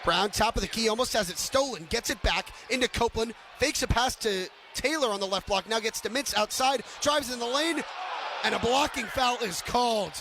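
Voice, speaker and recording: A man's voice: very high voice, moderate pace, bright, forceful tone. A man calling live basketball play-by-play for radio with a projected, clipped delivery, arena noise behind him. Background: basketball arena ambience.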